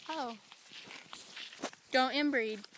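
Giggly high-voiced young woman outdoors. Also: drawn-out words, noisy background. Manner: giggly, teasing. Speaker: vlogger filming. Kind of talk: casual chat. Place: outdoors by a pond in the countryside. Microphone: phone held at arm's length.

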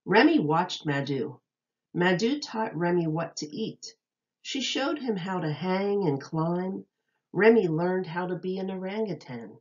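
A woman teacher reading a children's nonfiction book aloud, enunciating carefully and deliberately for young listeners. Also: on the low side, lively intonation, lo-fi sound.

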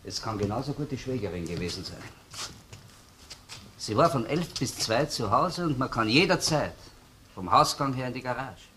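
An actor playing a matter-of-fact police colleague who raises doubts, in a crime drama. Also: male voice, mid-range voice, animated, normal speed, pushed and bright, a little hiss or hum. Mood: doubtful. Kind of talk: crime radio play acting.

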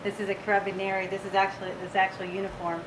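A middle-aged woman answers casually as an interviewed restaurant owner. Her voice is medium in pitch, picked up by a camera a few steps away in a dining room with clear background noise. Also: monotone, very fast.

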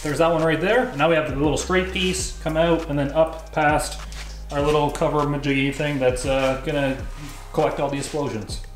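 Higher-pitched Canadian man, casual workshop vlog. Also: steady tone, moderate pace. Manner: jokey, slangy. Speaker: vlog host and fabricator. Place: garage workshop, indoors.

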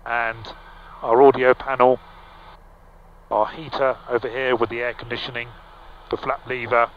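A middle-aged man piloting a small aircraft, casually explaining the cockpit over a headset boom mic, relaxed, with frequent fillers and restarts. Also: moderate pace. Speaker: pilot and vlog host. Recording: slightly dull, with faint engine hum.

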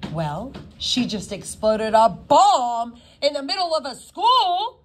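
Demanding female principal, voiced by a woman reader: storybook style, emphatic, highly animated pitch. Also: takes it slowly, slight background noise, heavily acted. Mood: indignant.

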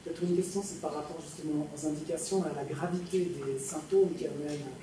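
A woman's voice: deep voice, steady tone, a little fast. A woman, a clinician in the audience, asking a spontaneous question in a large lecture auditorium. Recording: very echoey hall, distant, noisy.

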